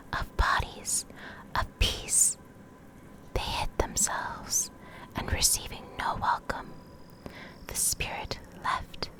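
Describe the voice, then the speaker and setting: A woman reading in a soft, breathy whisper for ASMR, in short deliberate phrases with pauses, close to the microphone. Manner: slow, deliberate, pausing between short phrases. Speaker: ASMR video creator reading game lore. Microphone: Blue Yeti desktop microphone, close up.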